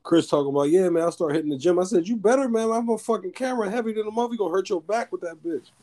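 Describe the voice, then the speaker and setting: A man with a deep voice, a rapper guest in casual interview chat, slangy and swearing freely. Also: racing, mellow.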